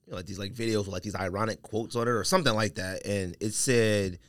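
A man chatting casually as a podcast host, in slangy, animated banter. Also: fairly low pitch, quick pace, no echo, studio quality. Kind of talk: casual chat.

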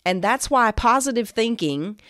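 A woman giving a devotional Bible teaching, part scripted and part conversational, in an earnest, emphatic way with deliberate pauses. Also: fairly high pitch, expressive, fairly bright tone, smooth, no room sound, studio quality. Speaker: Bible teacher and show host.

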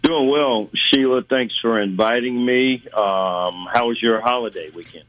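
A man is a guest on a radio show and talks in an easygoing, halting way with frequent ums. He has a mid-range voice on a dry, close studio mic. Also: medium pitch, fairly bright tone, no echo, rough recording. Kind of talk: casual radio interview.

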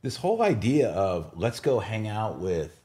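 A man's voice: fairly low pitch, big pitch swings, measured pace, dark tone. A man hosting a casual religious discussion, explaining his opinions emphatically and posing rhetorical questions. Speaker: podcast host.

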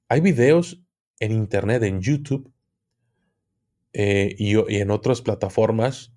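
A man with a Mexican accent speaking as an interview guest, explaining things conversationally and haltingly, often repeating words. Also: fairly low pitch, warm tone. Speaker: podcast guest, occultism expert.

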